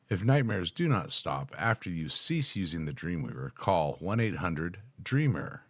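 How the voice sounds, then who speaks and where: A middle-aged American man with a low voice, close on the microphone. He reads text aloud in an informal way and drops in his own offhand comments as he reacts. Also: vocal fry, breathy edge. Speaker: reaction video host. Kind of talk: casual live reaction, reading text aloud with asides. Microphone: close microphone on a boom arm, headphones on.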